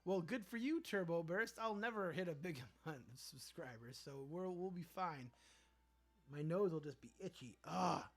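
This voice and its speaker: A young man runs a relaxed livestream, reading out viewer messages and riffing on them with playful asides. His voice sits a little on the high side for a man. The talk is loose and conversational. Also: normal speed. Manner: chatty, reads viewer comments aloud and riffs on them playfully. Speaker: livestream host reading chat. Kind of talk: casual livestream chat.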